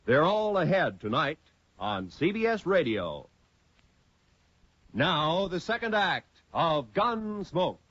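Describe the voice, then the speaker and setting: A male network announcer reading promos in a brisk, upbeat 1950s radio style. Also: fairly high pitch, big pitch swings, a bit bright, a little hiss or hum. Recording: dry, muffled vintage radio recording.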